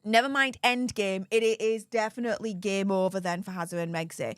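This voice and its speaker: A woman's voice: medium pitch, fairly even intonation, fairly quick, a bit bright, smooth, no echo, studio quality. A woman with a Yorkshire accent hosting a live stream, reading out donations with perky, gushing enthusiasm. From Yorkshire, England.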